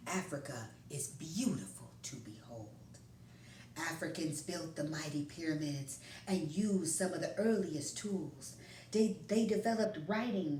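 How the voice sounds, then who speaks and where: Woman, storyteller, hushed reading, low voice, distant mic, roomy echo. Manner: hushed, often whispering. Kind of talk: children's story read-aloud. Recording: roomy, distant, faint background noise.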